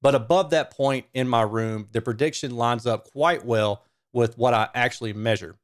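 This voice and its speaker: A man, presenting a technical explainer in a measured, informal way at a close microphone. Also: medium pitch. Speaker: audio reviewer and presenter. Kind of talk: technical explainer, semi-scripted. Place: small home studio room. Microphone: microphone on a stand close in front.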